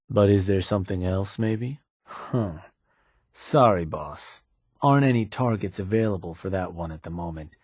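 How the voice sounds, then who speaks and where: A shrewd raider gang advisor from a video game, played by a man, with a very low voice and a rough, husky edge. He talks in a laconic, folksy way, coolly ruthless and dryly sardonic. The recording is close and dry, with no room around it. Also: a little mellow, no echo, close to an everyday voice. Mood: mildly apologetic. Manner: laconic, folksy, matter-of-fact menace. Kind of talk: video game character dialogue.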